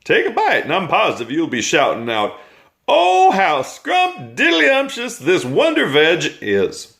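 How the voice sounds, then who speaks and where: A man's voice: fairly high pitch, huge pitch swings, unhurried, creaky, a bit bright, soft background music, voice pushed up, full character voice. A man reading aloud and voicing a kindly, sly male giant in a raised, sing-song voice. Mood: cheerful coaxing.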